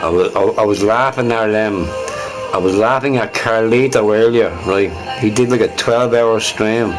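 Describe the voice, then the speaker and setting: A man's voice: on the low side, normal speed, over music, poor audio. A man streaming on his own, chatting casually in a rambling, profane way and laughing often. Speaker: livestreamer. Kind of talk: rambling solo stream chat.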